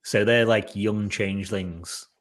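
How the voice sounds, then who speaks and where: A young man co-hosting a casual podcast chat, rambling along with fillers and quick jokes. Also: lowish voice, steady tone. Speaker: podcast co-host. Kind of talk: casual chat reviewing a TV episode.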